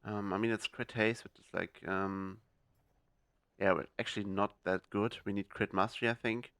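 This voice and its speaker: Young male game streamer, casual and halting, smooth voice, dry close mic. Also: fairly low pitch, steady tone, a bit bright, dry recording. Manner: hesitant, many fillers and restarts. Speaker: gaming video host. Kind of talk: casual gameplay commentary.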